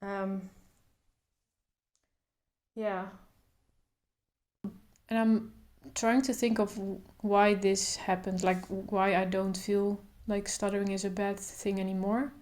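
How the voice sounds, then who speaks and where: Calm woman with a steady stutter. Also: mid-range voice. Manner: stutters openly, with repeated sounds and blocks; thoughtful, calm, speaks in short phrases with pauses. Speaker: vlogger. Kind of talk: casual talk to camera.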